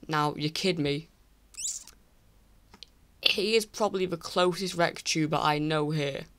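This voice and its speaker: Croaky teen lad from england, rambly, keeps saying sorry, late night desk mic. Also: male voice, a little high, a bit bright. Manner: rambling, apologetic, lots of restarts. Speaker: YouTuber. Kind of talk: casual tier list commentary.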